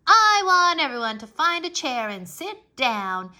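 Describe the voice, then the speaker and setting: A woman voicing a bossy, excitable little kindergarten girl in a children's storybook, with a cheeky, emphatic, childlike delivery. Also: high voice, a little slow, voice pushed up, heavily acted. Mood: bossy, showy. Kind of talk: children's storybook read-aloud.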